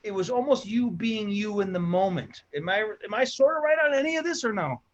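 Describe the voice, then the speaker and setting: Host: high-pitched man, middle-aged, chatty rambling storyteller, dull lo-fi sound. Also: lively intonation, a little fast. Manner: rambling, repeats himself, storytelling. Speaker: comedy show host. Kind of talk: casual chat.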